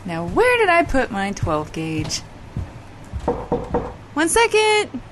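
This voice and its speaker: A woman's voice: high voice, huge pitch swings, slow, background noise, exaggerated voice. A woman playing an exasperated, dry-witted character in casual audio drama banter, sardonic and matter-of-fact. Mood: irritated.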